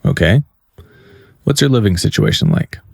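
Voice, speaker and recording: A stern, weary policeman running an interrogation. His voice sits low and his manner is firm and terse. The audio drama scene is recorded dry, with very little room sound. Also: wildly swooping pitch, moderate pace, vocal fry, slightly rough, no echo, full character voice. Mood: businesslike.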